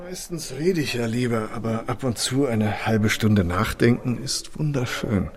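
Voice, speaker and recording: An actor playing a jovial, chatty middle-aged rogue in a radio drama, expansive and playful, with a gravelly voice. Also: male voice, mid-range voice, a little slow, crowd noise, natural voice. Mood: musing, amused. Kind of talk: German radio drama acting.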